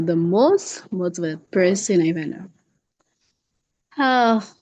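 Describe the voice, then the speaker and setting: Slow, hesitant Haitian woman, muffled sound. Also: mid-range voice, darkish tone, no echo. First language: Haitian Creole. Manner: hesitant, clears throat often. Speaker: language learner. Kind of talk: impromptu talk in English practice. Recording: muffled, dry online call audio.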